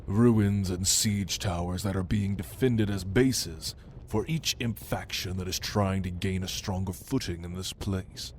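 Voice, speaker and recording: A man's voice: low-pitched, darkish tone, slight background noise. A man playing a proud, commanding demon lord in an ASMR roleplay, speaking in a theatrical, menacing manner to the listener. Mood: grim, instructive. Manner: slow, imperious, theatrical, menacing.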